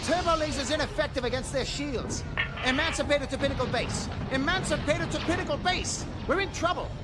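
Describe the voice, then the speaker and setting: A man's voice: very high voice, a little fast. A man playing a tense rebel starship officer under fire in an audio drama. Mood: alarmed. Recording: dramatic music and battle effects behind.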